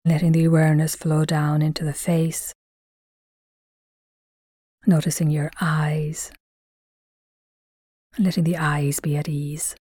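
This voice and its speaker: Slow, low-pitched woman guiding meditation. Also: little pitch movement, slightly rough, no room sound, studio quality. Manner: gentle, soothing, measured with long pauses. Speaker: meditation guide. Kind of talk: guided mindfulness meditation narration.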